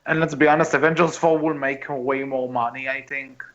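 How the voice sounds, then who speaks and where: A man's voice: mid-range voice, fairly even intonation, moderate pace, a bit bright. A man hosting a casual film discussion podcast, talking in a hesitant, conversational way as he thinks aloud. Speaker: podcast host. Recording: dull lo-fi voice recording.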